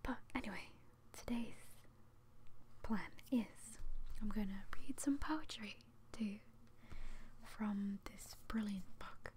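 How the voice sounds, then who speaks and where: Whispering young British woman, soft ASMR. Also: medium pitch, slow, slight background noise. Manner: whispery, hushed, hesitant and apologetic. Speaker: ASMR video host. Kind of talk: soft-spoken ASMR, casual chat to viewers.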